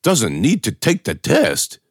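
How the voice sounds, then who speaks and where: A man voicing a domineering, irritable school official in an audiobook story, pompous and halting. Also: mid-range voice, huge pitch swings, husky, no room sound, studio quality, voice pushed up, heavily acted. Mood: indignant. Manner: pompous, barking, halting with uhs and grunts.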